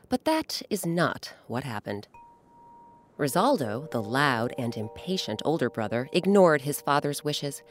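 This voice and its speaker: A woman hosting and narrating a children's story, with dramatic, emphatic phrasing. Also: low-pitched. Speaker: host and narrator.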